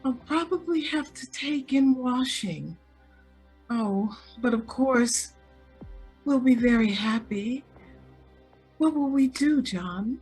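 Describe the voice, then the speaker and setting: Actress as young sweetheart, radio drama, naive, languid, breathy, slow-paced, plaintive. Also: female voice, high-pitched, expressive, darkish tone, faint music behind, exaggerated voice, low-quality recording. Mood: wistful. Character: Kismine, naive, dreamy rich young sweetheart.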